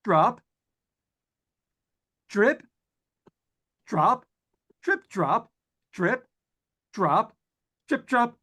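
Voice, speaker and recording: A man's voice: high voice, big pitch swings, sharp tone, husky, exaggerated voice. A man playing a nervous, lonely radio broadcaster unravelling in a haunted house, stammering and rambling in 1940s radio suspense style. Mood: mounting dread. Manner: stammering, halting, rambling with repeated words. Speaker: actor in old-time radio play. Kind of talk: 1940s radio suspense acting, live monologue.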